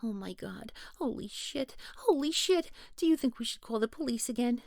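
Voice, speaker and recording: Audio drama: a woman as an anxious, chattering young woman, high with some fry. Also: animated, moderate pace, a little mellow, dry recording, clean studio sound. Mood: panicked. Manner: jittery, rambling, easily spooked. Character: nervous, rambling young woman.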